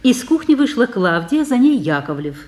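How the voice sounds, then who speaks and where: Мягкий женский голос рассказчицы. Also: female voice, fairly high pitch, big pitch swings, normal speed, a little mellow. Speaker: narrator. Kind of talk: scripted narration of stage directions.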